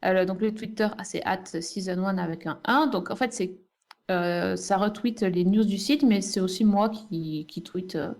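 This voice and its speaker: A woman's voice: medium pitch, a little slow, vocal fry, darkish tone. A woman podcaster speaking as a guest in a casual round-table chat. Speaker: podcast guest, podcaster presenting their show.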